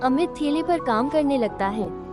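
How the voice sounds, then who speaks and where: A woman narrating a children's moral story in an even, simple storytelling manner. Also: sits high, unhurried, over music.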